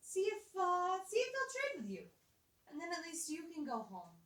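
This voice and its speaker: A woman's voice: very high voice, huge pitch swings, moderate pace, fairly bright tone, exaggerated voice. A woman voicing a fragile, motherly old bird in a puppet show, doting, hesitant and resigned. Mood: fragile, apologetic. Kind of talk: live puppet show acting. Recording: echoey room, distant mic.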